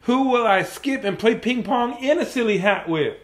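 A man's voice: very high-pitched, expressive, moderate pace, voice pushed up. A man voicing an anxious male storybook elephant, pleading in a melodramatic, repetitive way. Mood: alarmed, pleading.

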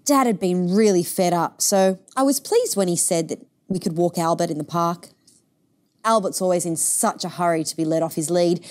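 A woman's voice: on the low side, normal speed, darkish tone, natural voice. A woman voicing a cheerful, easygoing young girl in a picture book, chatty and frank. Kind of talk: picture book read-aloud.

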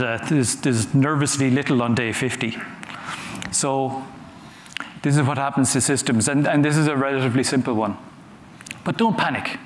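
Guy presenting at a conference, chatty n halting, echoey room, bit of noise. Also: mid-range voice. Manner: conversational, halting, repeats words. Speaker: conference speaker. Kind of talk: conference talk with audience questions. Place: large conference hall on a stage. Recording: roomy hall sound with faint noise.

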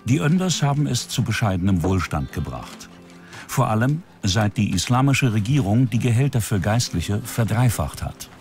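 Male documentary narrator, standard German, low voice with animated intonation, music underneath. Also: moderate pace.

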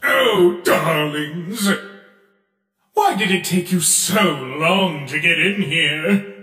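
A man's voice: high voice, slow pace, bright, forceful tone, exaggerated voice. A man voicing a vain, theatrical robot game show host in a comic dub, grandiose and gushing. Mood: showy, excited. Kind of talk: comic dub character acting. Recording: echoey hall reverb, music behind.

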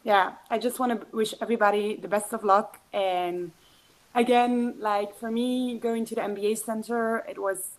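A woman's voice: fairly high pitch, fairly even intonation, moderate pace, low-quality recording. A woman in her twenties speaking casually on a video call as a former student, with frequent "like" fillers. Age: 20s. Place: bedroom at home.

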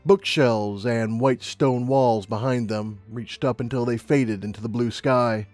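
A man's voice: medium pitch, steady tone, measured pace, a little mellow, dry recording, faint music behind. A man reading a story as a scripted audiobook narration.

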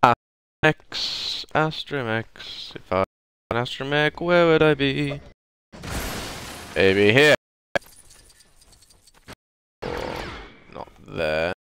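Sniffly man with a lively voice. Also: medium pitch, very slow, creaky, long pauses, a little hiss or hum. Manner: chatty, self-deprecating, mutters to himself. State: congested with a cold. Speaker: gameplay commentator. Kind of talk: casual let's play commentary. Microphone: desk microphone.

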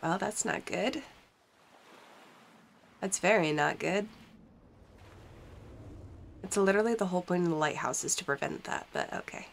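A woman's voice: on the low side, lively intonation, moderate pace, vocal fry, long pauses, a little hiss or hum. A young woman with a stuffy nose from a cold, chatting casually as she plays a game in her basement and reads its text aloud. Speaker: gaming channel host. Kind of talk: casual let's-play commentary. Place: cold basement in front of a green screen. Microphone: desk mic while wearing headphones.

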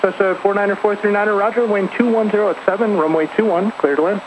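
A woman gives brisk tower instructions over a radio with clear noise and a narrow, dull sound. Her delivery is procedural and clipped. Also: medium pitch, fairly even intonation. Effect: aviation radio. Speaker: airport tower controller. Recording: noisy band-limited aviation radio.

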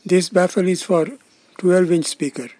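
A man's voice: high-pitched, fairly even intonation, measured pace, warm tone, no echo. A man giving scripted, step-by-step narration as the presenter of a technical demonstration. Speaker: presenter of a loudspeaker testing demonstration.

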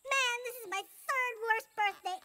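A woman's voice: extremely high pitch, a little slow, background noise. A woman voicing a hapless ant who complains in a whining, melodramatic way. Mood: self-pitying.

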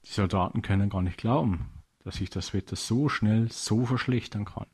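A man narrates a scripted story for children. His voice sits a little low and has a husky, unpolished grain. He delivers the text as a storyteller. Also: normal speed, darkish tone.

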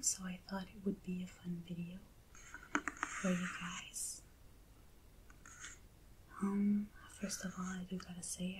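A woman speaking in a hushed, breathy whisper in a gentle ASMR style, close to the microphone. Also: fairly low pitch. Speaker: ASMR video host. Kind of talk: soft ASMR unboxing talk. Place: small indoor set at a table. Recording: clear background hiss.

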